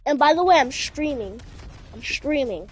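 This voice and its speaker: A woman's voice: sits high, lively intonation, moderate pace. A young woman live-streaming, chatting casually with other players in a slangy way and repeating phrases insistently, with music behind her.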